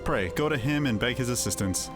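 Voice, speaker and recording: Man acting solemn fantasy elder, medium pitch, archaic formal diction, measured, earnest, game dialogue, faint music. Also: animated, lightly acted. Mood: grave, earnest.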